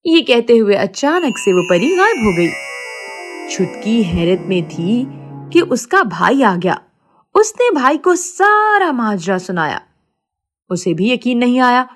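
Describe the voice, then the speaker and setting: Female storyteller for kids' moral fables: slow, scripted read with wide, expressive pitch swings. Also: faint music behind.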